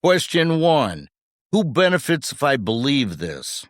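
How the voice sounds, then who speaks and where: A man's voice: medium pitch, big pitch swings, measured pace, no echo, studio quality. A man co-hosting a podcast, delivering scripted commentary in short, punchy rhetorical phrases with wry humour. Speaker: podcast co-host.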